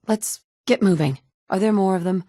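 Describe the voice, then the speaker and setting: A woman's voice: mid-range voice, moderate pace, warm tone, dry recording, close to an everyday voice. An actress voicing a blunt, sarcastic woman in a game, a protective mother with a dry, no-nonsense manner. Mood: wry. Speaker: player character. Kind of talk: video game dialogue.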